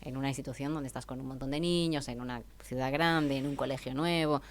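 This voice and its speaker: A woman's voice: rumbling low, steady tone, brisk, slight background noise. A woman in her forties from Spain with a Spanish accent, a guest author talking in a radio interview, reflective and hesitant with many fillers.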